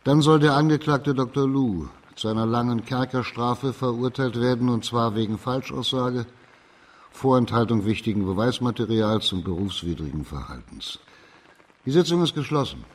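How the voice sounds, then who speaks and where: An actor playing a stern, dignified magistrate in a radio drama, speaking in a formal, measured and authoritative way. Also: male voice, medium pitch, animated, close to an everyday voice. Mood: stern, official. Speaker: judge character.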